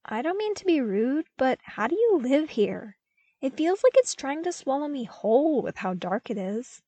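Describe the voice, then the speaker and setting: A woman plays a cheerful young man, an outspoken apprentice in an audiobook story. The pitch is lifted and the delivery bouncy and emphatic. The recording is close and dry. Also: sing-song, normal speed, mellow, dry recording. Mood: awkward, blunt. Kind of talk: fan fiction audiobook character voice.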